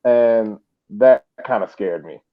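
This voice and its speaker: A man's voice: fairly low pitch, slow. A man co-hosting a casual sports podcast, talking over a remote internet call. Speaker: podcast co-host. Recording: tinny phone-like internet call.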